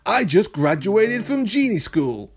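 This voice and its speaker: Friendly beginner genie for a storybook, high-pitched, showy and lilting, over music male performer. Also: wildly swooping pitch, a little slow, heavily acted. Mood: sheepish. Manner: chanting rhymes with showy flourish. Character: friendly novice male genie, theatrical wish-granter.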